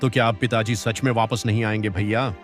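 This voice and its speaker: A man's voice: lowish voice, steady tone, a little fast, faint music behind, natural voice. A man playing a lazy, compliant younger son in a cartoon moral story. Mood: concerned.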